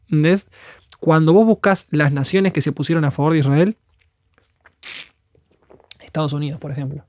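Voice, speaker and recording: A man from Argentina speaks with a broad Argentine Spanish accent and a voice pitched a little above the usual for men. He preaches in an earnest, fervent, conversational way and slips into prayers as if speaking them aloud. The sound is dry and close, with no room in it. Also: steady tone, moderate pace, pauses often, a little mellow, dry recording. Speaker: guest speaker.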